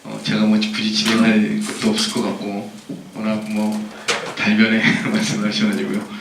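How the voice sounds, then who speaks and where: Low-voiced hesitant male moderator. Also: monotone, normal speed. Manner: hesitant, many fillers. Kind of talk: hosting a Q&A. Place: conference hall. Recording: echoey hall, distant mic, noisy.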